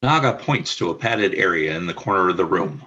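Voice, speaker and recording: A man's voice: deep voice, animated, a little fast, bright, forceful tone, slightly rough, lo-fi sound. A man reading the stage directions of a radio play in a plain, matter-of-fact way. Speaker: narrator.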